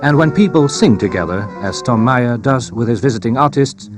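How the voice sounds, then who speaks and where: A man narrates from a script in documentary style. His mid-pitched voice moves with lively intonation, and music plays clearly behind him. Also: normal speed.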